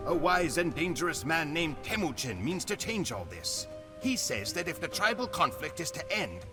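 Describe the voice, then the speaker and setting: An actor playing a Mongol chronicler, narrating a game cutscene in a grave, measured storytelling manner. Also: male voice, on the high side. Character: male Mongol chronicler narrating war history. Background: music.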